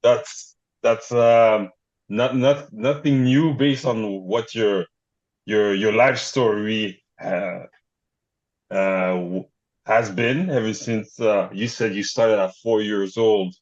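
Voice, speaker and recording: A man hosting an interview, speaking haltingly with repeated words and many fillers. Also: lowish voice, fairly even intonation. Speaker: podcast host. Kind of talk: interview conversation. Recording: dull, lo-fi sound.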